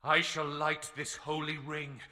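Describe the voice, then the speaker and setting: An actor playing a zealous old alien religious leader who speaks in slow, solemn proclamations. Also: male voice, on the high side, flat delivery, bright, forceful tone. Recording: long echoing reverb.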